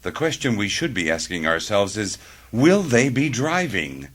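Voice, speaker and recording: Radio comedy: earnest, slow-witted male executive actor, deeper voice, very animated delivery. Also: heavily acted. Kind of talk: satirical radio theater.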